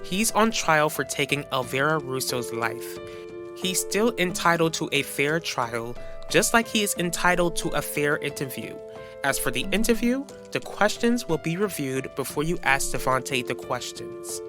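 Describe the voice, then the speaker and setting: A man voicing a stern, formal professional woman who speaks with authority in an animated soap opera. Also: a little high, normal speed, music in the background, close to an everyday voice. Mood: firm. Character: stern, measured professional woman enforcing policy.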